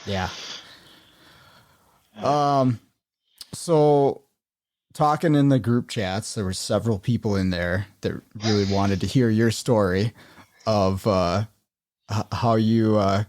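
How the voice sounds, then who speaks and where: A man's voice: fairly low pitch, darkish tone, no echo. A man hosting a podcast, interviewing a guest in an easygoing way with lots of "um" and "uh". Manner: easygoing, many "um" and "uh", short replies. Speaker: podcast host. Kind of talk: casual interview.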